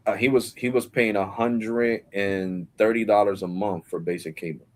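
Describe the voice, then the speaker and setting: A man's voice: lowish voice, fairly even intonation, moderate pace, slight background noise. A man in his forties hosting a casual live stream, rambling in slang and calling people bro. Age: 40s.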